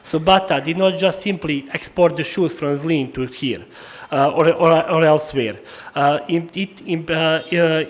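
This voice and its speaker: A man with a Slavic accent delivers a formal historical lecture. His voice sits somewhat higher than average for a man. He speaks haltingly, often stumbling and repeating words as he searches for the next phrase. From former Yugoslavia. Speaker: historian giving an annual lecture.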